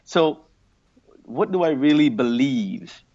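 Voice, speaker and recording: A man around forty from Singapore, talking over his past in an interview, pausing and restarting as he thinks. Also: medium pitch, animated, mellow. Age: late 30s or 40s. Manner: thoughtful, halting with restarts and fillers. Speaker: guest. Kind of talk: reflective interview talk. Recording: muffled lo-fi audio.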